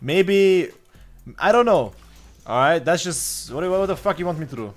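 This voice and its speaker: A man's voice: a little high, animated, normal speed. A man in his twenties streaming a game live, thinking aloud in a profane, offhand way. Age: 20s. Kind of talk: live gameplay commentary. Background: faint background beat.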